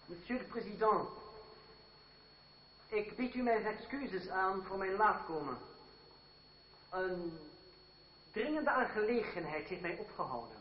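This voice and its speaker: High-voiced, mocking French swashbuckler. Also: male voice, a little hiss or hum. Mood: calm. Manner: mock-polite, ironic, taunting. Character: cocky, mocking French swordsman, witty and unafraid. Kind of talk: radio play acting. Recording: muffled old radio drama recording, crowd behind.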